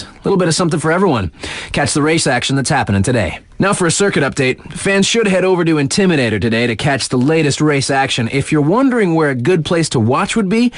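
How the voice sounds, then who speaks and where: A man's voice: a little high, no room sound, studio quality. An actor playing a laid-back, hip male radio DJ in a video game, delivering scripted snowboard news with casual, slangy asides. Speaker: radio DJ announcer.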